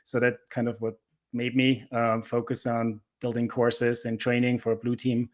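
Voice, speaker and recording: Middle-aged man, mid-pitched. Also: completely flat delivery, measured pace. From Austria. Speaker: interview guest, cybersecurity instructor. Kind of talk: casual conversational interview answers.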